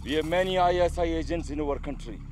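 An actor playing a calm male rebel commander who questions a captive in a measured way. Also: a little high, noisy background, natural voice. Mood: probing. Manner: measured, interrogating. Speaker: armed group leader questioning a captive.

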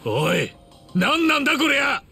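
A man's voice: extremely high pitch, huge pitch swings, measured pace, over music, exaggerated voice. An actor playing a blunt, hot-headed but honest middle-aged ex-yakuza man in a video game drama. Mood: indignant. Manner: blunt, rough street speech.

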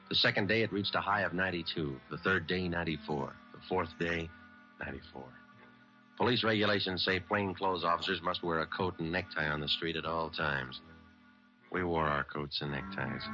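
A man's voice: deep voice, sharp tone. An actor playing a stoic, dogged American police detective narrating his case in terse, matter-of-fact radio-drama style. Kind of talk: 1940s-50s radio crime drama, clipped narration. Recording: dull vintage radio recording, music.

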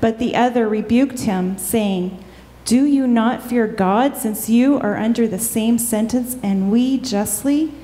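Mellow, measured woman reading in a church. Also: on the high side. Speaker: scripture reader at a church service. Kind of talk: dramatic scripture reading. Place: large church interior before a congregation. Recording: long reverberant church hall.

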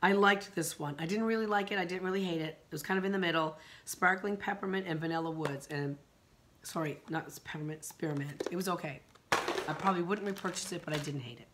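A middle-aged woman, hoarse from a sinus infection, chatting casually about candles in her living room, rambling with many ums and restarts. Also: lowish voice, fairly quick, background noise. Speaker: vlogger. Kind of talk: casual chat reviewing candles.

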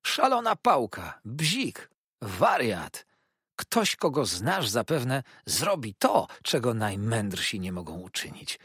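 Man voicing a kindly childlike old eccentric, excited, confiding, husky, lively. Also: on the low side, a little slow, dry recording, studio quality, heavily acted. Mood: excited, eager.

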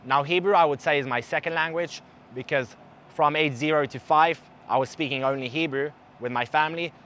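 A man's voice: a little high, brisk, a little hiss or hum. A young man with a mixed English accent, partly South African, talking casually and animatedly to the camera on an outdoor balcony at night. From Israel. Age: young adult. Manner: animated, gestures with his hands. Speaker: travel vlogger.

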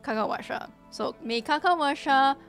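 Slightly hoarse young woman streamer, chatty. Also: sits high, normal speed, a bit bright. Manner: chatty, greets viewers, many fillers. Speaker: livestreamer. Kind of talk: casual gaming stream chat. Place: small room, indoors. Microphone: desk mic in front of her. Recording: music playing behind the voice.

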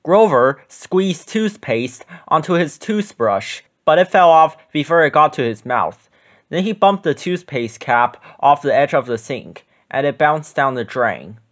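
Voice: mid-range voice, animated, measured pace. A storyteller reading a children's picture book aloud as scripted narration.

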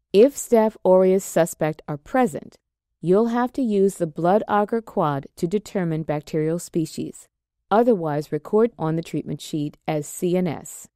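Mellow woman narrating lab steps. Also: on the low side, dry recording, studio quality. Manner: steady, measured, step-by-step explaining. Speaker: extension educator. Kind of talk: scripted instructional narration.

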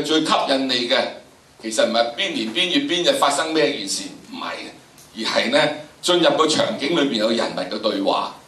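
A man's voice: medium pitch, fairly bright tone. An older man with a Hong Kong Cantonese accent lecturing students emphatically, drawing out phrases and posing rhetorical questions. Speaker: teacher of history and drama. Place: large indoor lecture theatre. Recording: echoey lecture hall, from a distance.

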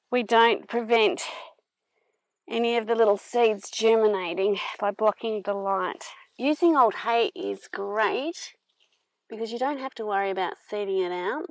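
A middle-aged woman narrating a farm vlog in a plain-spoken, unhurried explaining manner. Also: medium pitch, dry recording, low-quality recording. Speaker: vlogger, permaculture farmer.

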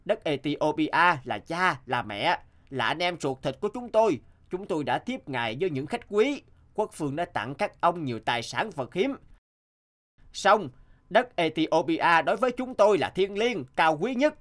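A loyal, patriotic guard from a cartoon folktale. He is solemn and courteous yet firm, declaiming with expressive intonation. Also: fairly high pitch, moderate pace. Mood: proud, solemn. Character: dutiful, patriotic Ethiopian guard, firm but polite. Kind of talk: animated folktale dubbing.

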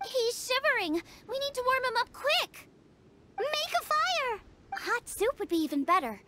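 A woman's voice: extremely high pitch, sing-song, unhurried, pushed and bright, a little hiss or hum, heavily acted. A woman voicing an eager, impulsive teenage girl cook in an anime-style video game, perky and exclamatory. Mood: urgent. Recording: game music behind the voice.

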